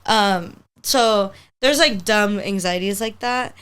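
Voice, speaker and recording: Woman, twenties, Spanish native speaker, casual rambling chat, podcast mic, medium pitch. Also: slow pace, a bit bright, clear, resonant tone. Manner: rambling, heavy use of "like", upbeat. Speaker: podcast guest, hair stylist.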